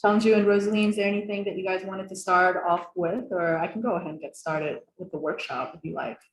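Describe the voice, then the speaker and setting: A woman's voice: mid-range voice, fairly even intonation, fast talker, reverberant, a little hiss or hum, poor audio. A young woman from the Philadelphia area hosting an online workshop on a video call, talking casually with many fillers. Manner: casual, friendly, with frequent fillers. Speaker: host.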